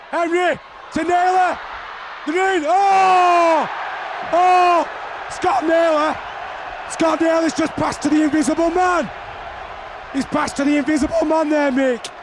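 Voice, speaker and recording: Excitable high-voiced rugby commentator. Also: male voice, slow, pauses often. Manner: shouting excitedly over the action. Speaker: play-by-play commentator. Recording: archive broadcast with crowd noise.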